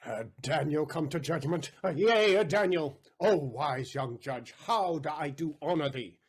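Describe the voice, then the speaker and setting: A male actor as a vengeful moneylender in a classical radio play. His voice sits a little high for a man, and he is emphatic, stubborn and defiant, pressing each demand theatrically. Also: animated, measured pace, husky, exaggerated voice. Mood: exultant, fawning. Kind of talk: audio play Shakespeare acting.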